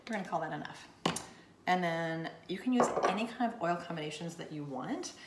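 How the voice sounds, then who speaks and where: Slightly hoarse low-voiced woman. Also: expressive, fairly quick. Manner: chatty, explains while demonstrating with hands. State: slightly hoarse, losing her voice. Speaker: home DIY vlogger. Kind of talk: casual how-to vlog. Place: home bathroom counter, indoors. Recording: roomy home recording, faint hiss.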